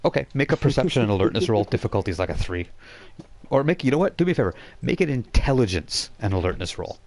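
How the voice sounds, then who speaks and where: A man acting as game master on a live stream, narrating informally and haltingly as he thinks aloud. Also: mid-range voice, lively intonation, a little mellow. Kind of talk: narrating a live online tabletop role-playing game. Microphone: voice chat microphone on a live stream.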